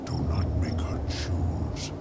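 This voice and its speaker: A man voicing a menacing, imperious demon who holds mortals in contempt, in a gravelly, breathy growl for a video game. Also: loud music behind the voice, natural voice. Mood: cold defiance. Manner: slow, imperious. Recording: heavy noise behind the voice.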